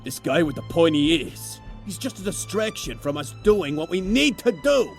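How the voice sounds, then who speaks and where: A hot-headed young turtle with a high, gravelly voice that swings wildly as he rants. He is sarcastic and quick to anger, with faint music behind. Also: very animated. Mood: irritated. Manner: brash, angry and sarcastic.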